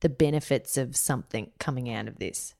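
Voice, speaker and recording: A woman's voice: brisk, vocal fry. A woman in her thirties co-hosting a podcast in casual, chatty talk, laughing often. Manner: chatty, laughs often, expressive swings in pitch. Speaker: podcast co-host. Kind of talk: casual chat.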